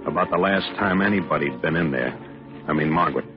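An actor playing an anguished, jealous newlywed husband in a tense, confessional 1940s radio style. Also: male voice, a bass voice, fast. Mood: brooding, tormented. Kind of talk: 1940s radio acting, first-person confessional narration and dialogue. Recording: dull old radio recording, loud music.

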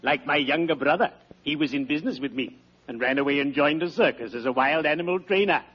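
A man acting a genteel, mournfully polite undertaker with formal, drawn-out droll delivery in an old radio comedy. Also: on the high side, husky. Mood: solemn, droll. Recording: dull, hissy old radio recording.

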